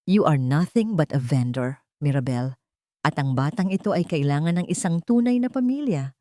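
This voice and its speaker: A woman's voice: sits low, expressive, normal speed, mellow, no room sound. An arrogant estranged husband from an audio romance drama, acted out by a woman narrator with a Filipino accent. He speaks with contempt and authority, threatening as he switches languages. The sound is very dry. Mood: angry, threatening.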